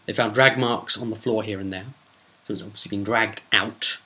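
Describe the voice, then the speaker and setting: A man speaking as a game master, casually retelling a role-play session with emphatic, drawn-out words for suspense. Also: lowish voice, steady tone, pushed and bright. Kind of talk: casual spoken storytelling recap.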